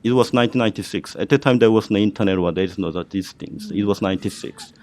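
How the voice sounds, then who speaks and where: A man's voice: lowish voice, fast talker, a little mellow. A middle-aged man with a Korean accent, telling his career story on a student panel, hesitant and full of fillers and restarts. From South Korea.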